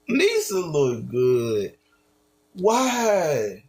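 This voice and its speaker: A man's voice: a little high, huge pitch swings, extremely slow. A man streaming, reacting to a video with slangy, incredulous casual commentary.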